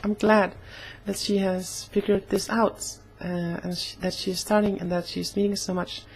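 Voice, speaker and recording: A young woman talking casually in a personal vlog, slightly hoarse, with an earnest, halting way of speaking. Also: medium pitch, little pitch movement, slight background noise, rough recording. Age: young adult. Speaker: vlogger.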